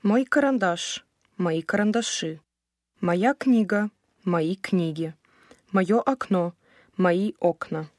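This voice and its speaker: A woman reading a textbook language lesson aloud, slowly and with careful, clear enunciation. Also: on the low side, darkish tone, dry recording, clean studio sound. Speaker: language course reader.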